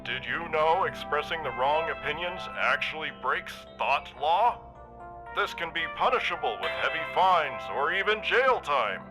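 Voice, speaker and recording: Smug state propagandist: highish voice, lively swings, patronizing emphasis, music behind. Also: male voice, a little slow, poor audio. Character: authoritarian government propaganda spokesman, smugly reassuring. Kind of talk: scripted propaganda announcement.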